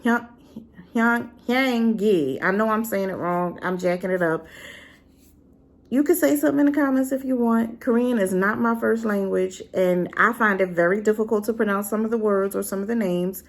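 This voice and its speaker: Woman, drama reviewer, sassy, animated, chatty, talks right to the viewer, mid pitch. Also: moderate pace. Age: adult, been married and divorced. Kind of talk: casual review chat.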